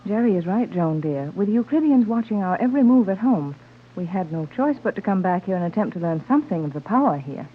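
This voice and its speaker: An actress playing an earnest, motherly, refined woman adventurer in a 1930s radio serial, reasoning gently. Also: mid-range voice, expressive, a little fast, natural voice. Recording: muffled, narrow-band old radio recording.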